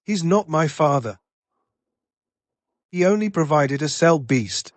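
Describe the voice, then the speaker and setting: A man's voice: fairly high pitch, expressive, takes it slowly, no room sound. A man playing a brooding, resolute young man in a short drama. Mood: bitter.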